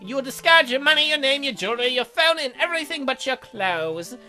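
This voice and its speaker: A woman's voice: sits high, lively intonation, a little fast, pushed and bright, faint music behind. A woman voicing a zealous, angry young female vigilante in a visual novel, preaching and giving bossy orders. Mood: commanding.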